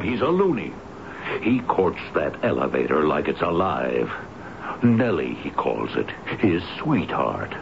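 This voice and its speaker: An actor playing a gruff, scornful boss in a radio drama, blunt, emphatic and contemptuous. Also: male voice, low voice, full character voice. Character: gruff, scornful boss who has had enough. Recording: dull, noisy old radio broadcast.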